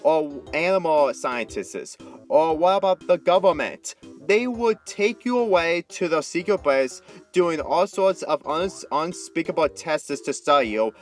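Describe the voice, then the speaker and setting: A man's voice: on the high side, lively intonation, a little slow, faint music behind, pitched above the performer's own voice. A man voicing an exasperated, worrying teenage girl who scolds sternly, in an amateur story reading. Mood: anxious ranting. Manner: stern, anxious, ranting.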